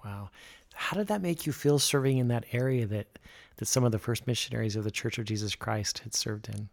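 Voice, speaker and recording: A man's voice: mid-range voice, fairly quick, creaky. Upbeat male podcast host. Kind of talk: podcast interview.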